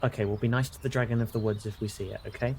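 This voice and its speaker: A man's voice: lowish voice, steady tone, very fast, a little mellow, a little hiss or hum. A man with a British accent playing a tabletop role-playing game, thinking aloud in casual, hesitant chat.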